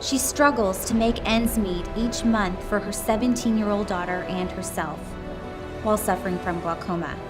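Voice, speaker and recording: A woman's voice: medium pitch, normal speed. A woman reading a scripted tribute story aloud as narration. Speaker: company employee reading submission stories. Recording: music behind the voice. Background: background music.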